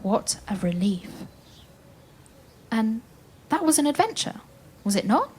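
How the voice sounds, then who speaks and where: A woman playing an expressive storyteller in an audio play, addressing the listeners as she narrates. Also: a little high, a little slow, breathy edge, exaggerated voice. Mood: inviting, reflective. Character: storyteller woman, calm yet dramatic, playing a brave spy.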